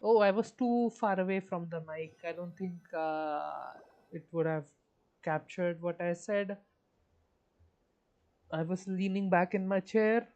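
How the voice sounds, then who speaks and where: A streamer reading game text aloud in a casual, halting way, stumbling over words. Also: mid-range voice, a little mellow, lo-fi sound.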